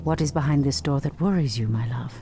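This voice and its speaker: An actress playing a proud, resolute night elf priestess, determined and commanding, in a video game cutscene. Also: female voice, a bass voice, a little fast, noisy background. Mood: composed. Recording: music behind.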